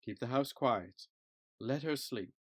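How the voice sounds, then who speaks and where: A man acting a calm family doctor giving plain advice. His voice is mid-range and recorded dry, with no room in it. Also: medium pitch, takes it slowly, dry recording. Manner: calm, matter-of-fact.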